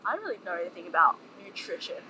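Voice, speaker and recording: A young woman co-hosting a podcast in casual chat, talking with lots of "like" and animated retelling. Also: fairly low pitch, rapid-fire, noisy background. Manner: chatty, heavy use of "like", animated storytelling. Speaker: podcast co-host.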